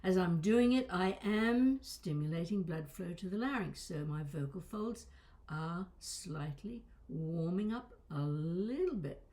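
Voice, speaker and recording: Older woman coach, slightly crackly low voice. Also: expressive, a little slow, dark tone. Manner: conversational, digressive, wry asides. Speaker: voice coach and presenter. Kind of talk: informal instructional talk to camera.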